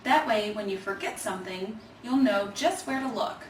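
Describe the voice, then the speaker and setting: A woman voicing an earnest, caring young girl in an expressive children's storybook reading. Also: medium pitch, normal speed, lightly acted. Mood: reassuring. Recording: roomy, distant mic, faint noise.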